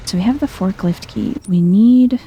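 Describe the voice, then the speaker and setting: A soft-spoken woman giving chill, low-key ASMR gameplay commentary, murmuring to herself and sometimes slipping toward a whisper. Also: normal speed, a little hiss or hum. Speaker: gaming video host.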